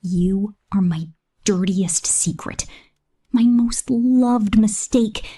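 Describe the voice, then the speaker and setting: A woman voicing a possessive, cold female killer drone robot in a breathy, slow, menacing whisper for an ASMR roleplay. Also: mid-range voice, dark tone.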